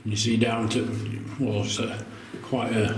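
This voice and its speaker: A man from the on a ghost hunt, calmly explaining a cave's history. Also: measured pace, crowd noise. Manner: low-key, explaining the history. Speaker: ghost hunter. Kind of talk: casual chat on a ghost hunt. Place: underground sandstone cave. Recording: echoey cave, night camera mic.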